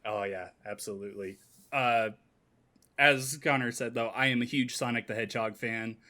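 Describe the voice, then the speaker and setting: A man with a medium-pitched voice talks as one of a podcast panel. His style is casual and conversational, with the keen manner of a fan. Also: fairly even intonation, normal speed, sharp tone. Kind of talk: casual chat about games and movies.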